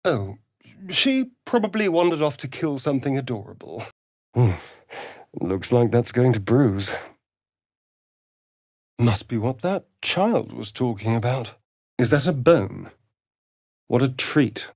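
A man's voice: mid-range voice, big pitch swings, slow pace, long pauses, a little mellow, no room sound, clean studio sound, exaggerated voice. An actor voicing a sly, sardonic male vampire rogue in a video game, with a theatrical, drawling manner. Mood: arch, amused. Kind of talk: video game character voice lines.

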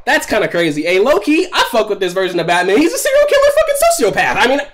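A man's voice: high-pitched, racing. A man in his twenties giving casual, profane, slangy reaction commentary and reading on-screen text aloud on a noisy recording. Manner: profane, slangy, animated, exclaiming. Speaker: reaction video creator. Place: small room at home.

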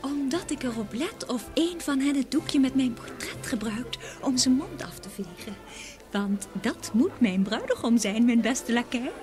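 Casting: hopeful tsar's daughter for a fairy-tale drama, high and gracious, over music. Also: female voice, lively intonation, measured pace. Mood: expectant. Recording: music behind the voice.

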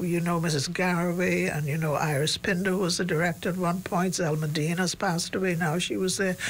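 A woman, a studio interview guest who reminisces gently and pauses to search for names. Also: fairly low pitch, slight background noise, poor audio. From Bahamas. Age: 90s. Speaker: interview guest, retired education leader. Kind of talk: reflective interview conversation. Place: small studio, indoors. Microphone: desk microphone on boom.

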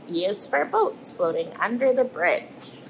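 A woman, reading a picture book aloud to children in an animated storytelling manner. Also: medium pitch, measured pace, a little hiss or hum, poor audio. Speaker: storybook reader and host. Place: indoors in front of an aquarium tank.

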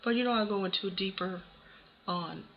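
A hoarse voice with a cold, casually talking through a software tutorial step by step. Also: medium pitch, moderate pace. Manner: casual, talks through steps one by one. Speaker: tutorial host. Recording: dull lo-fi voice-over with faint hiss.

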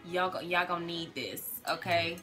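A woman's voice: fairly low pitch, moderate pace, bright, forceful tone. A woman in her twenties chatting casually and bluntly as a vlog host giving advice. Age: 20s. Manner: blunt, emphatic. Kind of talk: casual chat. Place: small room at home, indoors. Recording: hip hop music behind the voice. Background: hip hop music.

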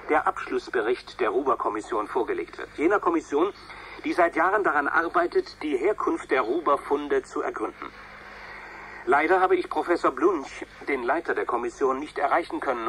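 An actor playing a solemn radio news reporter in a radio play, formal and dramatic in delivery. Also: male voice, medium pitch, a bit bright, natural voice, low-quality recording. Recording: faint noise, radio broadcast sound.

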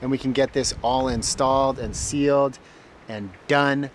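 A man's voice: medium pitch, steady tone, a little slow, a little hiss or hum. A man hosting a home renovation vlog, plainly explaining each step of a DIY job in a casual way. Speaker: host of a home renovation channel.

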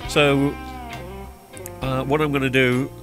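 A man's voice: medium pitch, little pitch movement, slow. Congested man with music behind. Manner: chatty, rambling with many ums and uhs. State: blocked-up nose from a cold. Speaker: TV repair vlogger. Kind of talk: casual voiceover commentary. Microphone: studio microphone recorded in post-production. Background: background music.

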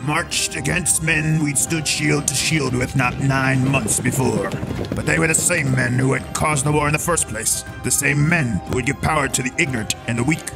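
A man playing a grave Athenian soldier, narrating a march to war with gravelly drama. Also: mid-range voice, moderate pace, music in the background, close to an everyday voice, poor audio. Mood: grave, proud.

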